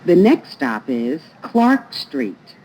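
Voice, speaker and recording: A woman's voice: sits low, very animated, extremely slow, dark tone. A woman reading scripted transit announcements in a formal, phrase-by-phrase manner, played over train speakers. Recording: muffled, noisy recording inside train. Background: train ambience noise.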